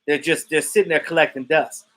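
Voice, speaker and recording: A man in his thirties hosts a live sports stream and talks to his viewers in a loose, unscripted way. He is blunt and outspoken and swears freely, and his voice sits a little high for a man. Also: steady tone, fairly quick, a bit bright. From Jamaican family roots. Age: 30s. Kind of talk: casual sports chat reading viewer comments.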